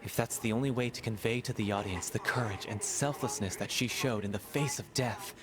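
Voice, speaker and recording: An actor playing a grave, principled male theatre troupe member in a video game, speaking in a serious, measured way. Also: fairly low pitch, natural voice. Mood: solemn. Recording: loud music behind the voice.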